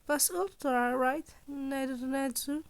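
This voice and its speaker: Woman voicing an anxious high-voiced teenage boy. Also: drawn-out words, heavily acted. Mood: determined. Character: anxious, earnest teenage boy student hero.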